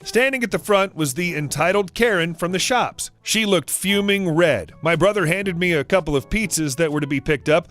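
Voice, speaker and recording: A man giving a scripted narration of online stories as the channel's narrator, reading in an even, steady way. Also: high-pitched, normal speed, hardly any pauses, faint music behind. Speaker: story narrator.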